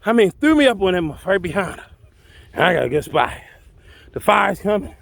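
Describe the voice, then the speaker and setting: High-pitched man telling a colorful tale. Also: very animated, brisk, vocal fry, fairly bright tone, no room sound. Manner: colloquial, exclamatory, dramatic. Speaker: storyteller. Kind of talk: animated first-person tall-tale storytelling.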